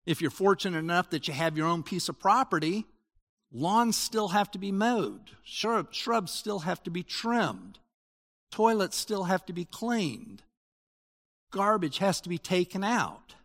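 A man preaching a sermon as pastor, explaining scripture in a conversational, animated way with frequent restarts. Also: high-pitched, measured pace, big-room sound.

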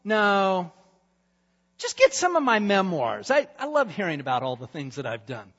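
A man voicing a vain, forgetful, self-important ancient king who booms and rushes his words. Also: huge pitch swings, fairly bright tone, exaggerated voice. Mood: demanding. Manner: booming, impatient, self-important. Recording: dull reverberant hall sound.

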